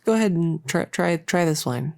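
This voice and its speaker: A woman playing a playful, doting young cultist in an intimate roleplay monologue, chatty and halting as she teases and coddles. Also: on the low side, lively intonation, creaky, a little mellow, no echo. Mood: curious, rambling.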